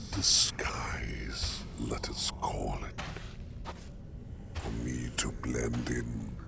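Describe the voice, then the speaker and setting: A man voicing a courteous, contemplative sleep demon in a slow, formal ASMR roleplay, with a gravelly half-whisper and long pauses. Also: a bass voice, noisy background, heavily acted. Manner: measured, formal, drawn-out phrases with long pauses. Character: ancient-sounding male sleep demon, courteous, contemplative, gently eerie.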